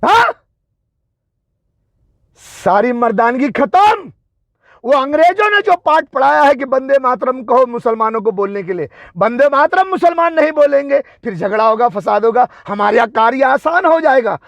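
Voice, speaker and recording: A man's voice: very high-pitched, gravelly, a bit bright, no room sound. A man delivering an angry, confrontational political and religious tirade, taunting with rhetorical questions and emphatic repetition.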